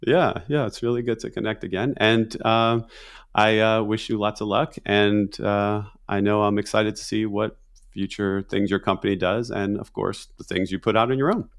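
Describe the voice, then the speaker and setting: A man hosting a podcast, asking questions in a relaxed, friendly way with hesitant fillers. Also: on the low side, moderate pace. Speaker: podcast host. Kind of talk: interview questions and show segue.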